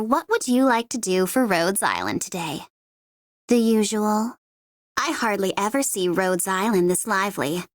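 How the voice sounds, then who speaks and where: A woman's voice: mid-range voice, a bit bright, no echo, studio quality. A woman voicing a young female mercenary bomber in a video game, gleeful and taunting, with a playful, sardonic, theatrical delivery. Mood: sly teasing. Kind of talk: video game character voice lines.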